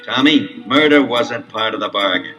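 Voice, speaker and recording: An actor playing a scheming adult crook, menacing yet reluctant, in a melodramatic audio adventure. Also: male voice, mid-range voice, moderate pace, close to an everyday voice. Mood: regretful, firm. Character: menacing but reluctant scheming adult male crook, sports-team owner. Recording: 1970s LP, slightly distant, faint music.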